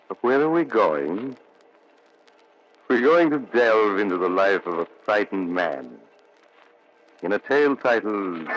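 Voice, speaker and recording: Casting: deep-voiced, measured storyteller doctor, old-time 1940s radio thriller delivery. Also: male voice. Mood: sinister, inviting. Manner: measured, theatrical. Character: ominous mysterious storyteller, also a calm reassuring doctor. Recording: muffled 1940s radio recording.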